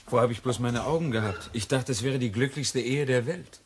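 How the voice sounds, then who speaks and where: A man's voice: mid-range voice, brisk, slight background noise, natural voice. A man playing a naive, concerned young man in a radio crime drama, earnest and puzzled. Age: young adult.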